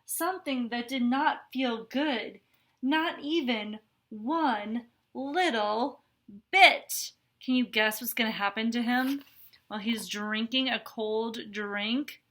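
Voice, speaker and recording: A woman's voice: on the high side, slow, a bit bright. A woman reading a picture book aloud to children, adding chatty, explanatory asides as a teacher would.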